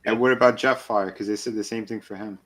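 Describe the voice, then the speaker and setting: A man's voice: lowish voice, steady tone, quick pace. A man chatting casually as a panelist, often in short remarks and questions. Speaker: panelist on a toy show. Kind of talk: casual chat with short interjections.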